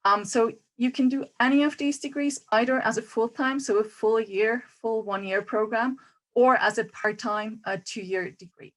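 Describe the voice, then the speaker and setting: A woman's voice: on the high side, fairly even intonation, moderate pace, low-quality recording. A woman who coordinates a degree programme, giving an orderly, explanatory information talk.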